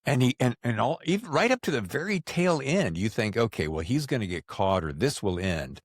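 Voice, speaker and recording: A man's voice: mid-range voice, big pitch swings, brisk, no echo, studio quality. A man hosting a casual interview, telling stories in a folksy, informal way. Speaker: podcast host. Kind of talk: casual conversational interviewing, storytelling.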